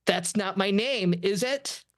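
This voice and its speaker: A woman voicing a young alien officer in an amateur read of an animated sci-fi comedy script. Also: mid-range voice, slow, no echo, studio quality, close to an everyday voice. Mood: menacing.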